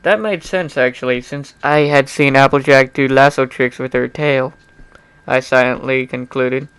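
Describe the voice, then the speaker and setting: A man's voice: medium pitch, steady tone, moderate pace, a bit bright, clear, resonant tone, no room sound, low-quality recording. A young man giving an amateur dramatic reading of fan fiction, informal and often breaking off into chatty, apologetic asides. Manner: informal, self-interrupting, apologetic.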